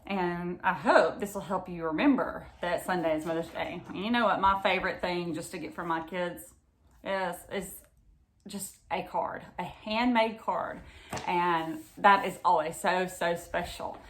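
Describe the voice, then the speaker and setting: A woman reads a picture book aloud to young children in an expressive way, pausing to explain and ask them simple questions. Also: lowish voice, reverberant, slight background noise. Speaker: read-aloud storyteller for children.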